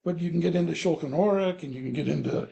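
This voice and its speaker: A man with a gravelly, mellow voice pitched slightly high talks earnestly in a class discussion. He thinks aloud, restarting his sentences as he goes. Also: fast, lo-fi sound. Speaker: class participant.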